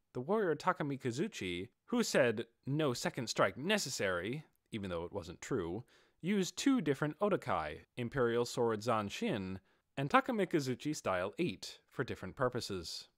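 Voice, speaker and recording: A man's voice: medium pitch, a bit bright, no echo, clean studio sound. A man narrating a fantasy audiobook in a dramatic, scripted style.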